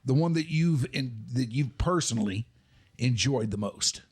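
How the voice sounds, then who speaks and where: A man's voice: medium pitch, lively intonation, unhurried, husky. A man hosting a podcast, asking questions and chatting casually with a guest. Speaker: podcast host. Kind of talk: casual interview chat.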